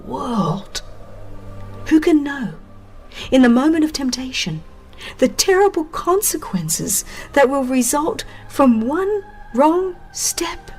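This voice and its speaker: A woman reading a religious book as a solemn, measured audiobook narrator with dramatic phrasing. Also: high-pitched, big pitch swings, darkish tone, faint music behind.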